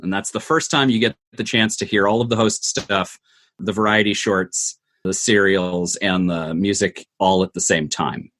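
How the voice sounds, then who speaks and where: A man with a fairly low voice speaks as a guest in an interview. He explains things in a thoughtful, conversational way, laying them out step by step. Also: fairly even intonation, moderate pace, a bit bright. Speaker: interview guest, audio drama producer.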